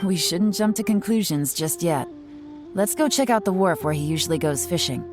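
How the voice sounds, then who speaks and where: A woman playing a sly, self-assured undercover agent in a video game, smooth-talking, composed and teasing. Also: fairly low pitch, animated, normal speed, natural voice. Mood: coy, teasing. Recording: background music under the voice.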